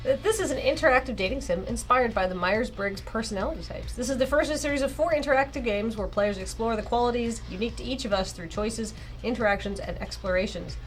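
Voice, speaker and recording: A woman's voice: on the high side, quick pace, faint music behind. A woman co-hosting a game stream, chatting casually and reading on-screen text aloud. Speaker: streamer and co-host.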